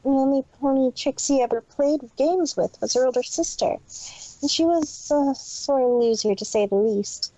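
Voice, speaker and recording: A woman's voice: high-pitched, moderate pace, mellow, no room sound, close to an everyday voice. A woman voicing a timid little unicorn filly. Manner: speaks of herself in third person. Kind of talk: amateur fanfic reading. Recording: dull lo-fi home recording.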